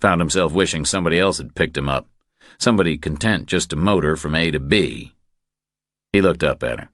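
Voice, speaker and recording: A man's voice: a bass voice, brisk, a bit bright, no room sound, studio quality. A man narrating a crime thriller audiobook from a script.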